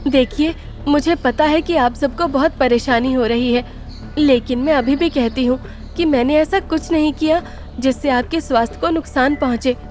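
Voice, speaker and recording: A woman's voice: high voice, moderate pace, a little mellow, faint music behind, natural voice. A woman voicing a protective, resolute mother in a cartoon story, firm and reasoning.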